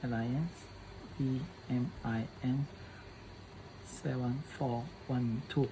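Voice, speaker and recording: A man presenting a casual hands-on setup tutorial in halting English, often repeating his phrases. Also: medium pitch, fairly even intonation, background noise.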